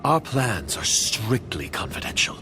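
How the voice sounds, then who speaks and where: Wary low-voiced conspirator. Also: male voice, very animated, a little slow, music in the background. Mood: suspicious. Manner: guarded, formal, skeptical. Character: wary, secretive male conspirator, curt and disdainful. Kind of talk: video game voice acting.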